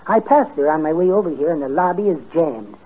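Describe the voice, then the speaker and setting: An actor playing a meek, timid, apologetic little henpecked man, softly and mildly, in 1950s radio comedy. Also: a little high, lively intonation, brisk, husky. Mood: chatty, earnest. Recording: muffled tinny 1950s radio recording.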